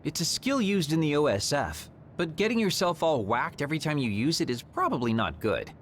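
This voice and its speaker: A man's voice: fairly high pitch, very animated, brisk, a bit bright, slight background noise. An actor playing a laid-back, flippant male soldier in a video game. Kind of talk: English video game voice acting.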